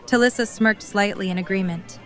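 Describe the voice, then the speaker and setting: A woman reads scripted narration for an audio drama. Her voice sits at a middle pitch, with faint music underneath. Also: a little fast, a bit bright.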